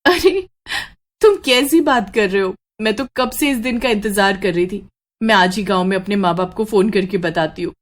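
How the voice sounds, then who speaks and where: A woman voicing an excitable, emphatic young woman in an acted horror storybook. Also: mid-range voice, moderate pace, lightly acted. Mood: delighted amazement. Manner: emphatic, questioning, exclamatory. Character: excitable young woman, indignant bride, easily upset.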